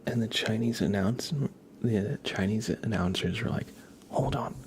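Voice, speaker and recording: A young man giving a soft, whispered ASMR tarot reading while chatting in a relaxed, rambling way with viewers. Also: on the low side, moderate pace, slight background noise.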